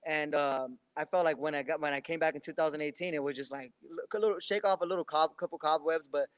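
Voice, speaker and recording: A man's voice: a little high, fairly even intonation, fast talker, smooth, no room sound. A young man in his twenties, a boxer, answering interview questions in a loose, rambling way with many restarts. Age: 20s. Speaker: professional boxer.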